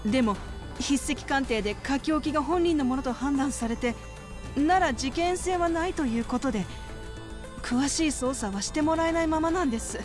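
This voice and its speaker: Worried middle aged lady who works at an orphanage, polite n formal, highish voice, game cutscene. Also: expressive, moderate pace, over music. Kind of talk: video game cutscene dialogue.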